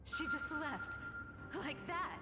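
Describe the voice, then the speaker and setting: Young female game character: blunt, brooding, unhurried, fairly high voice, hall echo, loud music. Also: expressive. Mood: sceptical, annoyed. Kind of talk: dramatic video game acting. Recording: echoing hall sound under loud music.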